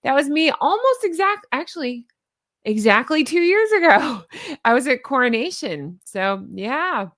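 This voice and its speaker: A woman's voice: high-pitched, sing-song, unhurried, a bit bright, dry recording. A woman in her thirties with a Southern US accent, hosting a chatty, sarcastic commentary vlog from a home studio mic. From American South. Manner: chatty, sarcastic, laughs often. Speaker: YouTube commentary host. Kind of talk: casual reaction vlog. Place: home studio with neon lights. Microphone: studio mic on boom arm.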